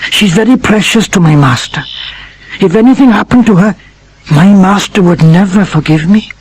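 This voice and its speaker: A man voicing a loyal old male dog in a storybook, gentle and earnest in manner. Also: sits high, normal speed, husky, pitched above the performer's own voice, low-quality recording.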